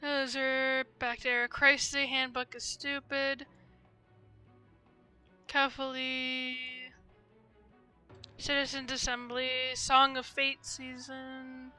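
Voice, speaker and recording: Bright, high-voiced woman streaming a game. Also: completely flat delivery, slow pace, long pauses, clean tone. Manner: rambling, thinking aloud, tentative. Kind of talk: casual livestream gameplay commentary. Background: game music.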